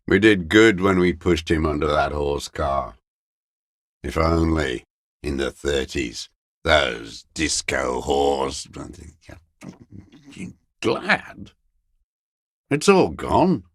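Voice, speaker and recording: An actor playing a bitter old communist deserter in a video game, brooding and ranting with contempt. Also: male voice, very deep voice, a bit bright, no room sound, clean studio sound, heavily acted. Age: older. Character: bitter old deserter, die-hard communist soldier, male. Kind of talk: video game character dialogue.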